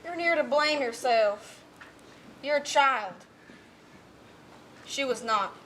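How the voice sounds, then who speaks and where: A woman's voice: high voice, animated, takes it slowly, a bit bright, slight background noise, full character voice, poor audio. A young woman playing a worried, scolding townswoman in a school stage play. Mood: stern.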